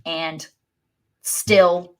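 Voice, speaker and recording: A woman's voice: lowish voice, fairly even intonation, extremely slow. A woman co-hosting a sports podcast, chatting casually with dry, sarcastic humor. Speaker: podcast co-host.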